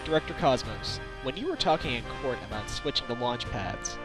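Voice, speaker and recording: A determined male lawyer played by an amateur actor with a mid-pitched, animated voice. He presses his questions firmly and slips in wry asides, over clear game music in a fandub recording. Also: fairly quick, natural voice. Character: wry, determined male defense attorney, younger adult. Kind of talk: video game fandub acting.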